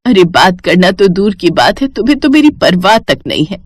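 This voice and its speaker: A woman playing a wronged, bitter elderly mother, lively pitch. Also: moderate pace, no room sound, studio quality. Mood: hurt, reproachful. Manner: reproachful, sorrowful, lecturing.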